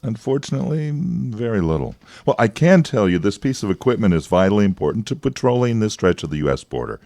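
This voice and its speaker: An actor in a radio drama playing an evasive, persuasive government agent, smooth-talking and patronizing, with hesitations. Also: male voice, fairly low pitch, big pitch swings, quick pace, darkish tone, no echo. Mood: guarded, persuasive.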